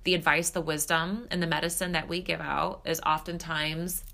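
A woman's voice: low-pitched, little pitch movement, normal speed, gravelly, sharp tone. Stuffed-up chatty woman podcaster. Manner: chatty, candid, filler-heavy. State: stuffed up, slightly nasal. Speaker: podcast host and spiritual coach. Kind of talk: solo podcast monologue.

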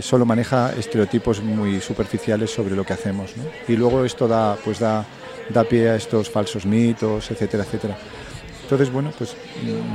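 A man with a Spain Spanish accent, a fair organizer talking in a live radio interview outdoors, thoughtful and full of restarts and self-corrections. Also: fairly low pitch. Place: outdoors in city park gardens. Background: crowd.

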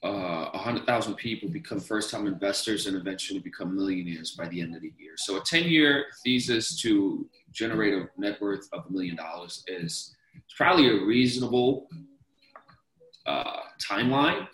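A man's voice: lowish voice, lively intonation, moderate pace, a bit bright, reverberant, low-quality recording. A young man from Denmark, a podcast guest talking about investing, who rambles and restarts his sentences often. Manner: rambling, many restarts and repeated words. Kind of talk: casual interview talk.

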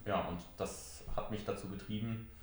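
Middle-aged man, low voice, very echoey room. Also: steady tone. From Germany, near Mainz. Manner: halting, reflective, with frequent hesitations. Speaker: interviewee, member of parliament and IT entrepreneur. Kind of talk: conversational interview answers, recounting own career.

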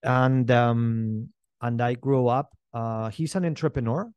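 A man's voice: medium pitch, slow pace, a little mellow, smooth, dry recording. Man, dry close sound. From Spain. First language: not English. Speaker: podcast guest, entrepreneur. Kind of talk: casual interview storytelling.

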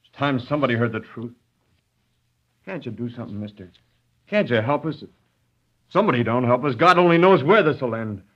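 A man's voice: medium pitch, animated, fairly quick, long pauses, fairly bright tone. A man playing an angry, fed-up young mill worker in a 1930s radio drama, with a rural drawl. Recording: dull old radio recording.

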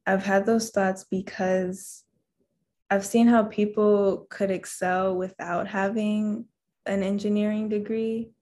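A soft-spoken young woman, a college student, answering interview questions hesitantly with many pauses and fillers. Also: mid-range voice, flat delivery. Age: young adult. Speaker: guest, engineering student.

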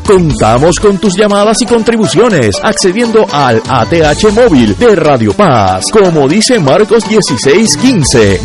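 A male announcer reads radio station promos in a lively, sweeping voice, pitched a little high. Clear music plays under him throughout the spot. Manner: polished announcer delivery.

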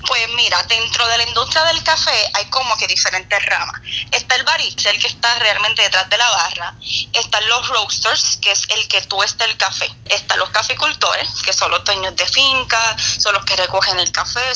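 A young Puerto Rican woman chatting casually as an interview guest, explaining things in an expressive, talkative way. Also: medium pitch, moderate pace, highs cut off. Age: young adult. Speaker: guest, barista and coffee YouTuber.

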